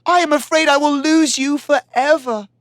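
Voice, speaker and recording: A woman voicing a high, fearful young princess. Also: fairly even intonation, moderate pace, dry recording, studio quality, natural voice. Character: grief-stricken young princess.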